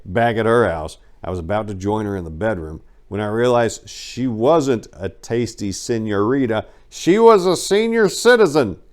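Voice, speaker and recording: A man giving a dramatic first-person reading of a memoir, telling it in a wry, animated storyteller's way. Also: mid-range voice. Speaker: audiobook narrator.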